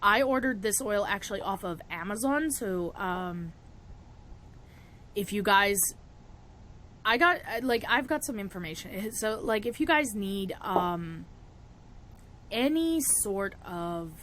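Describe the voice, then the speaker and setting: A young woman with a cold-roughened, raspy voice, chatting casually through a spell-making tutorial and laughing often. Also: medium pitch, expressive, slow, long pauses, a bit bright, a little hiss or hum. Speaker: witchcraft vlogger.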